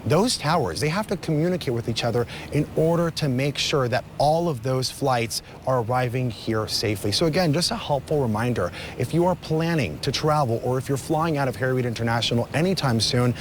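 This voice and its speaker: A man's voice: medium pitch, brisk, few pauses, a little hiss or hum. A man reporting live for TV news from outdoors, with an emphatic, formal delivery. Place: outdoors on a city street at evening.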